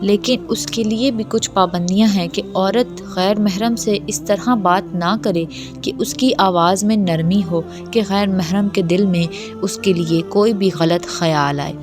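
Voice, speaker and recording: A woman's voice: mid-range voice, unhurried. A woman giving a religious explainer talk in a didactic, admonishing manner. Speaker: religious lecturer. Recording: clear music behind the voice. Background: background music.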